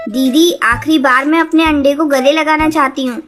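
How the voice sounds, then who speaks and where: Woman as a cartoon pigeon sister, high voice, direct, emphatic, music underneath. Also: steady tone, normal speed, fairly bright tone. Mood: caring, agreeable. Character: outspoken female pigeon, determined younger sister. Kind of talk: Hindi children's cartoon story acting.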